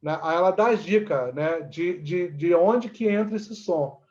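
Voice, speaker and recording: A man with a Brazilian Portuguese accent explaining things conversationally as an interview guest, haltingly, often checking in with short tag questions. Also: high voice, expressive, darkish tone, muffled recording. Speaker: actor and theatre teacher, interview guest.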